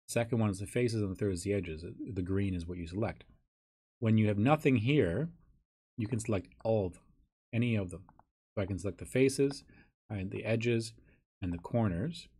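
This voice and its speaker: Hoarse, husky man explaining software. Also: fairly low pitch. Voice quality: raspy. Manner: casual, thinks aloud with ums and restarts. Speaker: teacher. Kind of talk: screen-recorded software tutorial.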